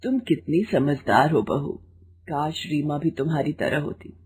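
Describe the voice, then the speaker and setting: A woman plays a tired, kindly older mother-in-law in an audio storybook. Her voice sits lower, husky and mellow, with a subdued, wounded gentleness. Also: measured pace, exaggerated voice. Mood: wistful, fond. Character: weary, hurt older mother-in-law, mild and affectionate.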